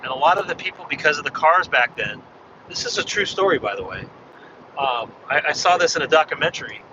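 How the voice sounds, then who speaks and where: A man slightly hoarse from losing his voice, chatting in a rambling way while driving. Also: a little high, animated, fairly quick. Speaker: ham radio vlogger. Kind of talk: casual chat while driving. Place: inside a car on a freeway. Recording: dull muffled car recording with road noise. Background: road and engine noise.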